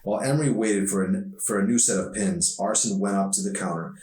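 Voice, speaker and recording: A man reading his own novel aloud as an author, in expressive audiobook narration. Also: lowish voice, brisk. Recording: echoey room, distant mic.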